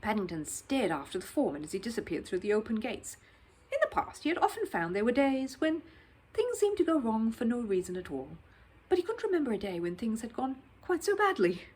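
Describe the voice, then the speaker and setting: Husky, expressive woman reading a children's story. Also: fairly high pitch, fairly quick, poor audio. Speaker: storybook narrator.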